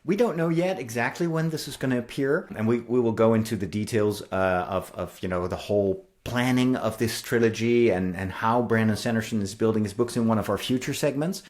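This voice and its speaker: A man's voice: on the low side, a little fast, hardly any pauses, a little mellow. A man co-hosting an enthusiastic podcast discussion about books, explaining things in an effusive way. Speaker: co-host and writer.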